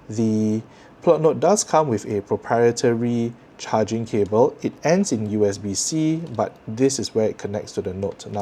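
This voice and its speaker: A man with a Singaporean accent presenting a scripted tech product review to viewers in a measured, articulate way. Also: fairly low pitch, mellow, slightly rough.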